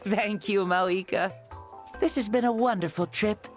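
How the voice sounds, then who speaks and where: A man voicing a gentle, grateful ancient hero spirit in an anime game, speaking with wistful warmth. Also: sits high, unhurried. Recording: loud game music under the voice.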